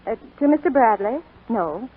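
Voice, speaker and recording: High-voiced flustered bride-to-be, tinny radio. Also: female voice, animated, normal speed, husky. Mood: polite, brisk. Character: warm, flustered young bride-to-be, wry under strain. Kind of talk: 1940s radio drama acting. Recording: tinny 1942 radio broadcast, faint music.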